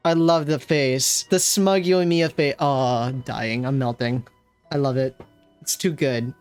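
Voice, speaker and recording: A man's voice: mid-range voice, fairly even intonation, a little slow, clear, resonant tone. A man doing casual livestream reaction commentary, gushing playfully over a video game. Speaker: game streamer. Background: game music.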